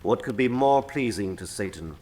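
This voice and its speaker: A man's voice: lowish voice, lively intonation, moderate pace, a little mellow, close to an everyday voice. A man playing a composed, learned cardinal in a radio drama, speaking formally with a conspiratorial air. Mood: grave. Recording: long hall-like reverb.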